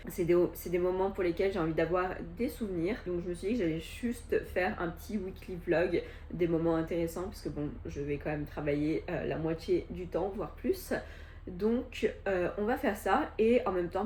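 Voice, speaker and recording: A woman's voice: lowish voice, darkish tone, roomy sound, slight background noise. A woman in her early thirties, chatting casually and upbeat as a vlogger, partly while cooking in her kitchen. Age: early 30s. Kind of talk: casual vlog talk to camera. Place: home kitchen.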